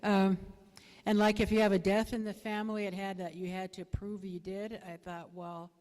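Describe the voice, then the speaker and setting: A middle-aged woman with a soft voice giving a hesitant, plain-spoken, unscripted answer as a candidate at a public forum. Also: medium pitch, little pitch movement, normal speed. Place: bingo hall, public forum over a PA. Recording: echoey hall with long reverb.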